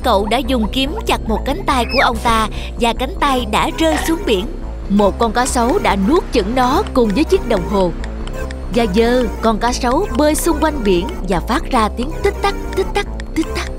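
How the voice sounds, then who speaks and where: A woman's voice: fairly high pitch, expressive, normal speed. A woman narrating a scripted fairy tale for children as the storyteller. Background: music.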